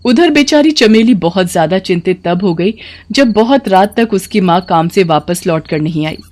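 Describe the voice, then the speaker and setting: A woman storyteller reads a scripted dramatic tale. Her voice is of medium pitch, and she carries the narration in a storybook style. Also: animated, moderate pace, darkish tone.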